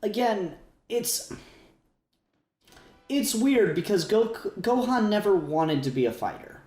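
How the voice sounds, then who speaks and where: A man co-hosting a podcast in casual, opinionated discussion, often halting to repeat and rephrase himself. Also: sits high, sing-song, a little mellow. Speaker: podcast co-host. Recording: roomy echo, faint music.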